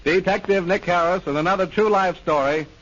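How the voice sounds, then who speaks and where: A man's voice: high-pitched, a little fast, fairly bright tone. A man as a radio announcer, giving a formal scripted introduction in 1930s broadcast style. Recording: muffled 1930s radio recording with hiss.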